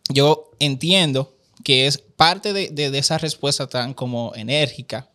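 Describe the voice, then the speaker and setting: Bright-voiced man explaining casually. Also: medium pitch, unhurried. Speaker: podcast guest. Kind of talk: casual conversational testimony.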